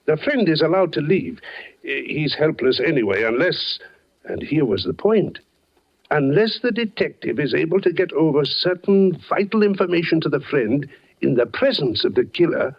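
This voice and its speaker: An actor playing a courteous, probing male security chief, speaking deliberately in a 1940s radio drama. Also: fairly high pitch, big pitch swings. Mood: probing, critical. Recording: dull vintage 1940s radio recording.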